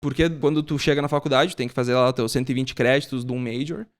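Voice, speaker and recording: A man's voice: medium pitch, fairly even intonation, fast talker. A young man from Brazil, a podcast guest explaining things in casual chat and often ending with a tag question.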